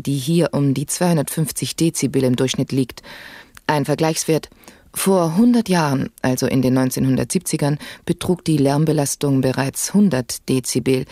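A woman's voice: low-pitched, dark tone, dry recording, clean studio sound. An actress playing a middle-aged, matter-of-fact and weary woman doctor in a dystopian satirical radio play. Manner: clinical, didactic, resigned. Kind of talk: satirical science fiction radio play acting.

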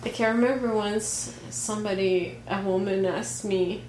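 A woman in casual chat, telling a travel anecdote in an unhurried way, often laughing. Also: medium pitch, fairly even intonation, a little mellow. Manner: slow, storytelling, laughs often. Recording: roomy echo, faint background noise.

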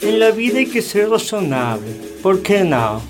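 An actor playing a smooth-talking, evasive agent in a radio play. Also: male voice, high-pitched, big pitch swings, measured pace, over loud music. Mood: persuasive.

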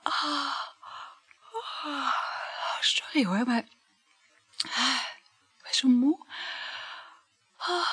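Chatty flirty married lady in a radio play, husky, very up and down, lots of sighs. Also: sits high, a bit bright, no echo, full character voice. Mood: tired, affectionate. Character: chatty, flirtatious married woman juggling several lovers. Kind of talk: Dutch radio play acting.

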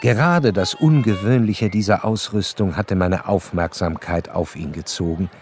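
An actor playing a curious, educated gentleman who narrates a literary radio play in a measured, deliberate way. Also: sits low, soft background music, close to an everyday voice. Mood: observant, intrigued.

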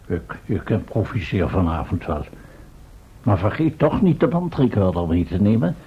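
Pompous professor, husky, muffled recording. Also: male voice, low voice, very animated, moderate pace, full character voice. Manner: pompous, declamatory, formal. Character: pompous, indignant, self-important time-travelling male professor. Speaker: actor. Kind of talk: Dutch radio drama acting. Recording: muffled, dull old radio recording.

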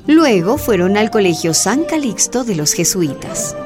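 A female storyteller, narrating a radio drama. Her pitch sits in the middle of the range, and music runs clearly behind her. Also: sing-song, measured pace. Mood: narrative. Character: female storyteller recounting events plainly.

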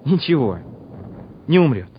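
Shy young soldier, halting brave talk, very animated intonation, muffled old radio recording with noise. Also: male voice, on the high side. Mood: wistful pleading. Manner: halting, repeats himself. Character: shy, quiet young soldier putting on bravado before battle. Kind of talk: Soviet radio drama acting.

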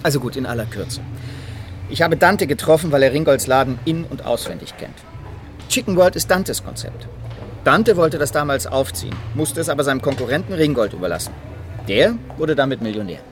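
A man's voice: medium pitch, expressive, brisk, faint music behind, close to an everyday voice. An actor playing a brusque, self-important male leader of an activist group in a radio crime drama. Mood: dismissive. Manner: pompous, curt, dismissive.

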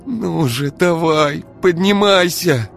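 A man voicing a fierce, sharp-tongued young woman who shrieks when angry. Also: expressive, fairly quick, soft background music, close to an everyday voice. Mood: desperate. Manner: shrill, shrieking when enraged.

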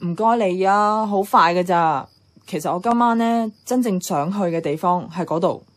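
A woman's voice: fairly low pitch, normal speed, close to an everyday voice. An actress playing a nostalgic, adventurous young woman in a radio drama, chatting with a Hong Kong Cantonese accent. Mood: mischievous eager. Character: nostalgic, playful, adventurous young woman revisiting her childhood neighbourhood.

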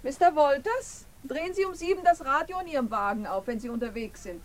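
An actress playing a brisk, sociable, politely teasing woman in a 1950s German radio play, with a knowing manner. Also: high voice, lively intonation.

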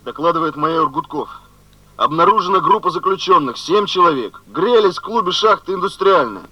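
Dutiful police major giving clipped formal report, radio drama, highish sharp voice, dry sound. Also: male voice, lively intonation, fairly quick, no room sound, muffled recording. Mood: brisk, formal. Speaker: actor in a radio play. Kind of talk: 1970s Soviet radio drama acting.

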